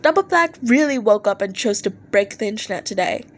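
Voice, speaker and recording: A woman reading fan fiction aloud as an expressive amateur audiobook narrator, acting out posts in an animated way. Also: mid-range voice, a little fast.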